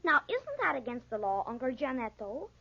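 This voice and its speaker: A woman's voice: high voice, big pitch swings, moderate pace, bright, forceful tone, heavily acted. A woman voicing a cheeky, calculating young boy in a 1940s radio drama. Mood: sly, taunting. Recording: muffled, dated 1940s radio recording.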